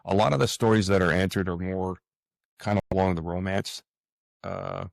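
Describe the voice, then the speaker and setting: A man's voice: low voice, steady tone, normal speed, vocal fry, dry recording. A man speaking reflectively as an interview guest, earnest and hesitant, often repeating words and phrases. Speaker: author, podcast guest.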